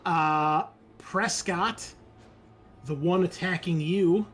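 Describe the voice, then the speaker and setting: A man's voice: high voice, very slow, fairly bright tone, soft background music. A man working as game master, narrating a horror roleplaying game, moving between dramatic description and casual rules talk.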